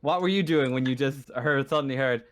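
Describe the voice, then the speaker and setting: A man acting as game master in a casual tabletop session, talking in a halting, deliberate way as he rules on the game. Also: medium pitch, no room sound.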